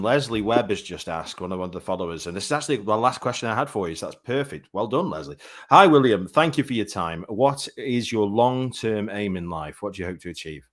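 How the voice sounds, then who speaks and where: A man hosting a live online chat show, chatty and effusive, calling his guests mate. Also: on the low side. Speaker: show host.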